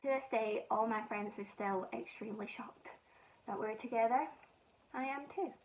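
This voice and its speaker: A woman's voice: on the high side, normal speed, slight background noise. Chatty young Scottish woman, slightly distant mic. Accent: Scottish softened by northern English. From Scotland. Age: young adult. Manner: chatty, rambling and self-mocking. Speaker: beauty vlogger. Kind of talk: casual chat while doing makeup.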